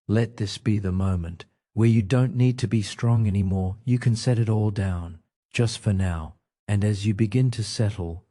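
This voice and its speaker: Low, mellow male sleep meditation narrator. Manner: soft, soothing, unhurried phrasing with frequent pauses.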